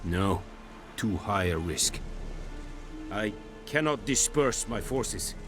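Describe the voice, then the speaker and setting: Stern low-voiced foreign army commander. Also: male voice, animated, music in the background. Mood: grave. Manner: formal, measured, clipped. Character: stern, pragmatic male army commander of an occupying empire. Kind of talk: dramatic video game acting.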